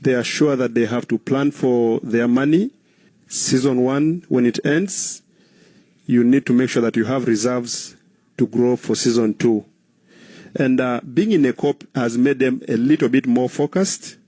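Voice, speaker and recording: Mellow-voiced Ugandan man explaining. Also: medium pitch, a little slow, no echo. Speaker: guest, cooperative trainer. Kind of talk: interview answers, explanatory.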